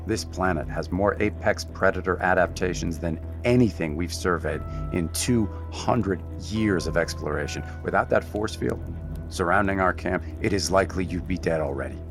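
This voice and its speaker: A seasoned expedition guide with a very low voice. He is measured, dry and commanding, as in a science fiction radio play, with music underneath. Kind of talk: audio drama acting with narration.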